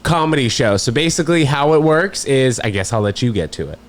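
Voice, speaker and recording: A man's voice: mid-range voice, expressive, brisk, slight background noise. A man hosting a podcast, chatting in a playful, teasing way. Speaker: podcast host. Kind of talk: casual podcast chat.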